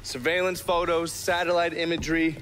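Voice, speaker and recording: An actor playing a gruff, foul-mouthed intelligence operative in a video game, terse and cynical as he briefs a partner. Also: a little high, level tone, normal speed, a bit bright, natural voice. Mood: grave, matter-of-fact. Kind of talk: video game cutscene acting.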